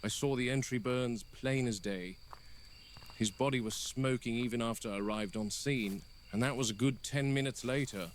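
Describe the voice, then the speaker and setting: A man playing a stern, exasperated game warden delivering a grave, deliberate monologue in a found-footage horror piece. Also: mid-range voice, close to an everyday voice. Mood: grim, stern. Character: stern, exasperated male park game warden logging incidents. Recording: noisy found-footage audio with insects.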